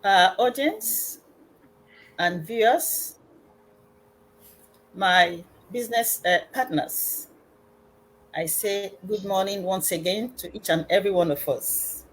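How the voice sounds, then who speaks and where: A middle-aged woman from Nigeria, an educationist and business consultant, speaking formally and deliberately on an online panel. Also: medium pitch, poor audio. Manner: slow, measured, formal delivery with pauses.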